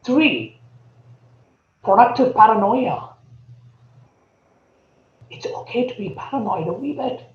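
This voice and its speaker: A man with an Indian accent, a podcast guest laying out his points earnestly and deliberately. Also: sits high, animated, slight background noise. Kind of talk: podcast interview. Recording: echoey, dull remote audio.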